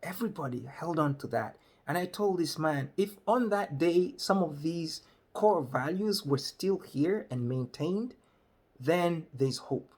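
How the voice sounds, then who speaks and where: A middle-aged man from Malawi telling a personal story in an animated way, filmed by a webcam in a small room. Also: on the high side, unhurried, husky. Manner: animated, gestures a lot while telling.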